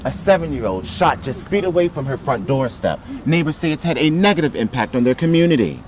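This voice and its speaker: A man's voice: fairly high pitch, big pitch swings, fairly quick, background noise. An American man narrating scripted television news reports as a reporter.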